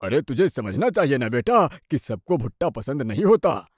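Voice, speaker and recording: A man voicing a blunt, fussy middle-aged family man in an animated story, emphatic and dramatic. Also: mid-range voice, moderate pace, husky, no echo, studio quality. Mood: indignant. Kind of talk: animated Hindi moral-story dubbing.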